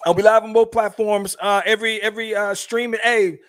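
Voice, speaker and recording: A man hosting a podcast, groggy today, telling a long rambling story in a relaxed way and calling his listeners "man" and "bro". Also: sits high, brisk, no room sound, clean studio sound. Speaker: podcast host. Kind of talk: casual podcast chat.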